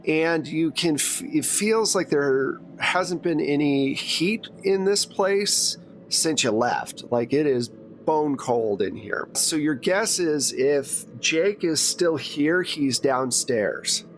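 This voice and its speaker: A man's voice: on the high side, expressive, slow, slight background noise. Man running a tabletop horror game. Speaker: game master.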